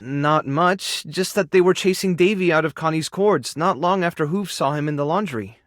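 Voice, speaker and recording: An actor playing a caring, anxious animal rescuer in a video game, with a friendly, earnest and encouraging manner. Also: male voice, fairly high pitch, moderate pace, no room sound, studio quality, natural voice. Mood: friendly, weary. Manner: expressive, soft-hearted, encouraging, coaxing small creatures like pets. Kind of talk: video game character dialogue lines. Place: studio.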